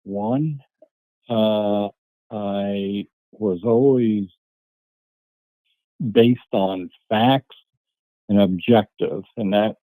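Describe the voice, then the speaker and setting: An older retired man calling in to a phone meeting, earnest and deliberate. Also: lowish voice, dark tone, no echo, low-quality recording. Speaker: caller.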